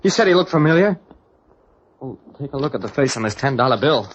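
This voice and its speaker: A man's voice: medium pitch, expressive, brisk, close to an everyday voice. An actor playing an earnest, flustered man insisting on his story in an old radio drama, pleading and hesitant. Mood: puzzled. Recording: muffled, noisy old radio recording.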